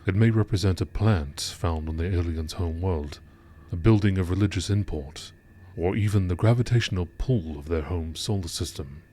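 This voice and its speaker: Male narrator, low voice, scripted nature documentary read, formal and measured. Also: mellow, slight background noise. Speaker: narrator reading game lore entries.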